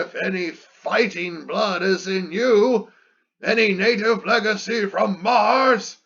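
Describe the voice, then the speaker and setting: A man playing a bitter elder statesman who pleads and taunts in declamatory, raspy epic verse, on a muffled recording. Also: on the high side, bright, forceful tone, exaggerated voice. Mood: scornful taunting. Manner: rhetorical, declamatory, slow and emphatic. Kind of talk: dramatic reading of epic verse.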